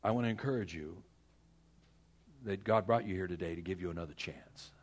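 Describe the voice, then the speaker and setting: A male pastor with a husky, fairly low voice delivers a sermon. He is earnest and exhorting, leaning on repeated phrases and dramatic pauses as he moves between personal stories and prayer.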